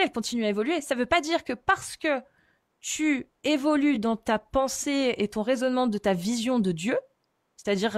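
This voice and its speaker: Une femme qui anime une conversation sur la religion et qui pose des questions insistantes, en réfléchissant à voix haute avec des hésitations. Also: female voice, mid-range voice, no room sound, clean studio sound. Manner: questioning, insistent, thinks aloud with fillers. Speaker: host.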